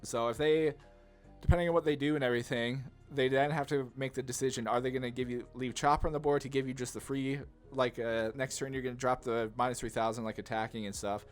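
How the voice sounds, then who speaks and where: A man giving casual, enthusiastic card game commentary as a channel host, talking in a rambling way peppered with "like". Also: mid-range voice. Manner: rambling, enthusiastic, heavy use of "like".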